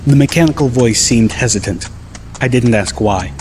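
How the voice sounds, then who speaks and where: A man narrating an audiobook in the first person, measured and dryly wry. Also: mid-range voice, a little mellow, slight background noise. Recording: clear music bed behind the voice.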